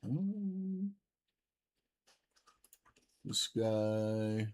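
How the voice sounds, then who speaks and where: A man's voice: lowish voice, very animated, extremely slow. A young man hosting a live stream, chatting loosely with viewers and swearing often. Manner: loose, profane banter with viewers. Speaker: livestream host reading chat. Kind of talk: casual live-stream chat.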